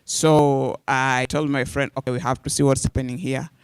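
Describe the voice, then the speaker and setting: A man's voice: medium pitch, level tone, moderate pace, clear, resonant tone, no room sound, studio quality. A Kenyan man in his forties, a radio journalist speaking earnestly and directly to media students. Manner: earnest, direct, addresses listeners as "you guys".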